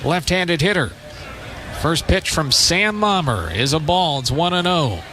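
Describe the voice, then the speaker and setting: An American man doing live radio play-by-play of baseball in a measured, descriptive way, with short phrases and pauses. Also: a little high, lively intonation, bright, forceful tone, slight background noise. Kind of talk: live radio sports commentary.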